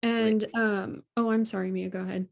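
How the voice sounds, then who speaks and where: A woman's voice: medium pitch, fairly even intonation, normal speed, vocal fry, highs cut off. A woman chatting casually, politely and a little tentatively asking questions. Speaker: actress at a table read. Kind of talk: casual chat. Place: indoors on a video call.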